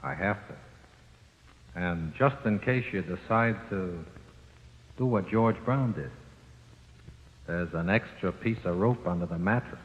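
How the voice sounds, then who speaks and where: Casting: resigned convict cellmate, unhurried low voice, understated, vintage radio drama, long hollow reverb. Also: male voice. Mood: grimly resigned. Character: weary, matter-of-fact male convict, grimly resigned cellmate. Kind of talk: 1940s radio drama acting. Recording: dull, echoing 1940s radio recording.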